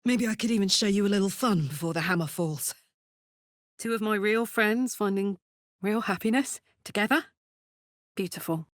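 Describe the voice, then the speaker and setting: A woman's voice: medium pitch, normal speed, pauses often, no echo, studio quality, close to an everyday voice. A woman playing a brash, big-hearted female warrior in a video game, speaking in an earnest, blunt and slangy way. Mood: tender.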